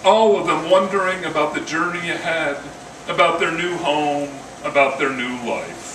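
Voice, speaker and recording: A man's voice: on the high side, steady tone, normal speed. An older man giving a live poetry reading with casual introductions, in a wry, dryly humorous manner. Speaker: poet reading own work. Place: indoor coffee house reading. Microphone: stand microphone, recorded by camera from a distance. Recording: roomy, distant mic, noisy venue.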